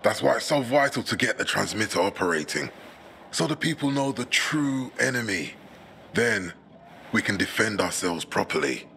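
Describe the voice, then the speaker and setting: An actor playing a zealous propagandist who talks with impassioned scorn. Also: male voice, fairly low pitch, lively intonation, normal speed, creaky, bright, forceful tone, slight background noise, heavily acted. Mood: fervent.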